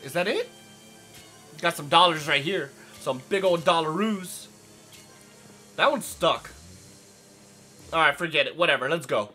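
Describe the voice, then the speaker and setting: A young man with a hoarse, raspy voice chatting casually through a let's play, rambling with lots of fillers. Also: fairly high pitch, expressive, a little slow, long pauses, sharp tone, faint music behind. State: hoarse from yelling. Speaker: gaming channel host.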